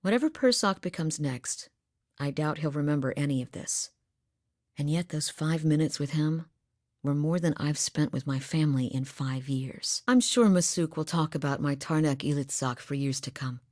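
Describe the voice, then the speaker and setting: A woman's voice: deep voice, moderate pace, a little mellow, no echo, lightly acted. Woman voicing a dry-humored northern huntress. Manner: dry humor, matter-of-fact. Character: steady, blunt woman hunter from a far northern village. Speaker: game character voice lines. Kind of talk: studio game voice acting. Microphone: close studio mic. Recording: dry studio booth.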